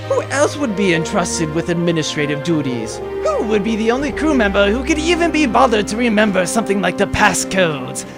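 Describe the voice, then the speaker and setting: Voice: medium pitch, lightly acted. An actor as a pompous villain baron, swooping theatrically. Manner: sarcastic, pompous, menacing. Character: theatrical gloating villain baron who threatens captives. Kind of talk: comedic audio drama acting.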